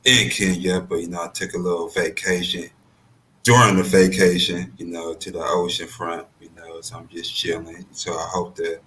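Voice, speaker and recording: A man's voice: low voice, level tone, moderate pace, pushed and bright, slight background noise, rough recording. A man with a sleepy morning voice chatting casually as a panel member. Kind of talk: casual chat.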